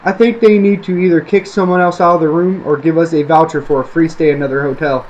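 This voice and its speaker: Man as exasperated young dad, higher-pitched voice. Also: steady tone, fast, a little mellow, muffled recording, lightly acted. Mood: casual, weary. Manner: muttering, exasperated. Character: frustrated young father on a ruined family vacation.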